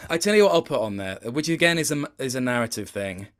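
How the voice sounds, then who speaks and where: A man in his late twenties speaks as a guest in a relaxed interview. His delivery is conversational and rambling. He often doubles back to rephrase as he tells a story. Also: mid-range voice, fast talker, clean tone. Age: late 20s. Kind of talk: casual gaming interview chat.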